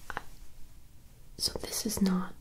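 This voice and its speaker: A young woman playing a fantasy woman who is puzzled by modern things, whispering softly into a close mic with dramatic, playful reactions. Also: slow pace, lightly acted, rough recording. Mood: teasing. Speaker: ASMR roleplayer. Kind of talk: ASMR roleplay.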